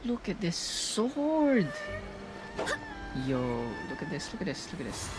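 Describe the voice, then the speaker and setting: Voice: medium pitch, big pitch swings, a little slow, over music. A gaming streamer reacting casually to gameplay, repeating phrases and drawing out exclamations.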